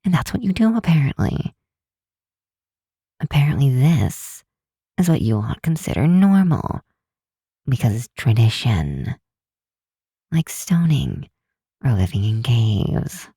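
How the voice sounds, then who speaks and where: A woman's voice: rumbling low, big pitch swings, slow pace, long pauses, mellow, husky, no room sound, clean studio sound, full character voice. A woman playing a cynical, sardonic but secretly affectionate grinch girl in an ASMR roleplay, drawing out words for emphasis. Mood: cynical ranting. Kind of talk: ASMR roleplay, scripted acting to the listener.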